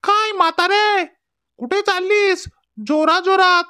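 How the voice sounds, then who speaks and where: A woman voicing a hungry, menacing but gullible male fox in a children's cartoon story, taunting in drawn-out phrases. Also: extremely high pitch, fairly even intonation, pushed and bright, pitched above the performer's own voice, heavily acted.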